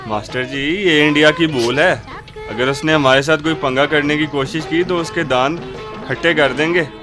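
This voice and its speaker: Actor as a brash Lahore barber, music behind. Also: male voice, fairly high pitch, normal speed, lightly acted. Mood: brash, curious. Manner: colloquial, bantering, swaggering. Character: brash, friendly neighbourhood barber of old Lahore. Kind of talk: radio drama acting.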